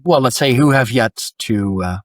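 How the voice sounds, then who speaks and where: A man's voice: mid-range voice, animated, moderate pace, no room sound, studio quality. A young Dutch man hosting a podcast, talking hesitantly with frequent restarts and fillers. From Netherlands. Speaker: podcast host. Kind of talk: conversational interview.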